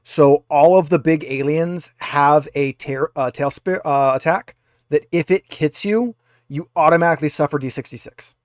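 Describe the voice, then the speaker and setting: A man acting as game master, improvising narration for a tabletop game in a halting, informal way. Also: on the high side, no room sound, clean studio sound.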